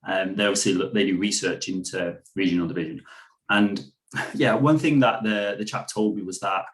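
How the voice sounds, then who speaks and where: A man's voice: low voice, moderate pace. A young man, co-hosting a casual political discussion in an earnest, rambling way full of "you know". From Manchester, England. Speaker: podcast co-host.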